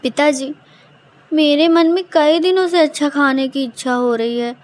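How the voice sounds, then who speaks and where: A woman's voice: high voice, unhurried, faint music behind. A woman voicing an innocent, hungry ten-year-old village boy in a story, speaking in a plaintive, childlike manner.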